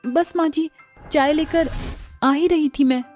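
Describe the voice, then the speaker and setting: A woman voicing a timid young newlywed bride who pleads and defends herself in a high, strained voice. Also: a little slow, darkish tone, soft background music. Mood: pleading.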